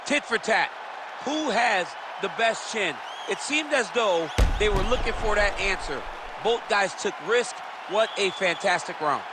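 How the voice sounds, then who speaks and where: A man's voice: high-pitched, lively intonation, a little slow, over a noisy crowd. A man working as an enthusiastic fight analyst, explaining the action emphatically in TV sports commentary. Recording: loud arena noise throughout.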